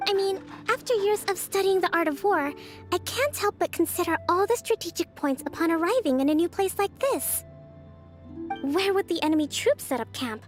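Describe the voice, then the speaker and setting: Very high-voiced gentle young strategist. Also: female voice. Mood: focused. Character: gentle young female military strategist, thoughtful and introverted. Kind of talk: video game character dialogue.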